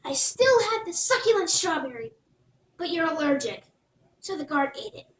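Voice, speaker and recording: A woman's voice: very high-pitched, big pitch swings, normal speed, a little hiss or hum. A woman doing an eager young boy. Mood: earnest. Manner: eager, earnest. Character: earnest young boy on a quest. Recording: roomy, distant mic.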